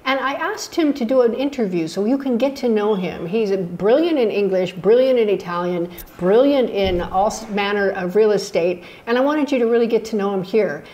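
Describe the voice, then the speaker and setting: A woman's voice: mid-range voice, lively intonation, moderate pace, few pauses, warm tone. A middle-aged woman hosting a travel channel, interviewing a guest on a video call in an upbeat, enthusiastic way. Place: indoor room. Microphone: laptop camera on video call.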